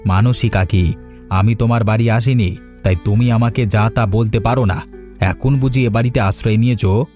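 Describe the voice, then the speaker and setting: Smug wealthy cartoon villain, male actor, low-leaning and soft-edged, needling and haughty. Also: normal speed, lightly acted. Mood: smug, probing. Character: wealthy scheming village man, smug and condescending. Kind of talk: Bengali moral-story cartoon voice acting. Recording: music behind the voice.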